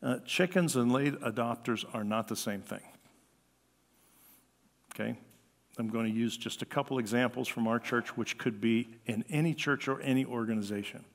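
An older man with an American accent preaches in a conversational way from a church lectern. His voice sits at a middle pitch and rings with the long reverb of the hall. He delivers with animation, slipping into the words of the people he quotes. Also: normal speed, gravelly. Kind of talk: sermon, conversational. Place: church sanctuary at a lectern, indoors.

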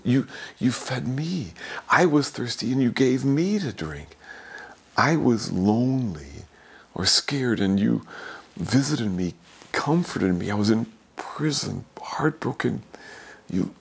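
An older man, a priest, giving a homily in a slow, gentle, reflective way, sometimes dropping to a soft whisper, from a small office. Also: mid-range voice, big pitch swings, gravelly, husky. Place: small office with bookshelves, indoors.

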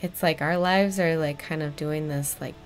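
A woman's voice: sits low, steady tone, moderate pace, soft background music. A young woman co-hosting a casual home podcast, laid-back and giggly, recorded on a webcam mic in a room with a green curtain. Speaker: podcast co-host. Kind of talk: casual chat.

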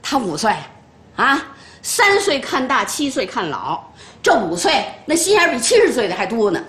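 A domineering granny. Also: female voice, a little high, wildly swooping pitch, normal speed, echoey room, slight background noise. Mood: lecturing. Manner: nagging, scolding, sharp tongue. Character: harsh scolding older grandmother, domineering. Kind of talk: TV drama acting.

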